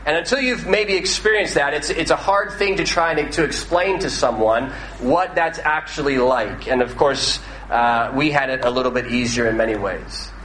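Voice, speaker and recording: An American man in his thirties preaches in a relaxed, conversational way, often pausing on "uh" and restarting his sentences. His voice is bright and forward. It rings through a large, echoey hall with a faint noise floor. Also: fairly high pitch. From northwestern United States. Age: 30s. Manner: conversational, with frequent "uh" fillers and restarts. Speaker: pastor. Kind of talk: sermon.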